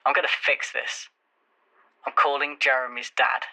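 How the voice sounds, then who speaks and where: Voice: mid-range voice, little pitch movement, moderate pace, background noise, lightly acted. An actor playing an impulsive, wry young friend who rambles and stammers through a voicemail in an audio drama. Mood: determined.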